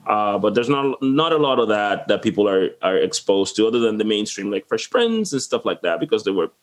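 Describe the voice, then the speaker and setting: A man with a fairly low voice hosts a podcast in a casual, rambling way. His questions wander and restart before they land. He grew up in the Dominican Republic. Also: very animated, a little fast. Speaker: podcast host. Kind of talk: casual interview chat.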